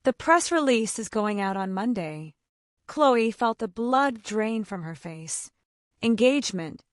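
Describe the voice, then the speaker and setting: Female narrator, medium pitch, measured storytelling; dry, close studio sound. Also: no room sound. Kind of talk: scripted romance audiobook narration.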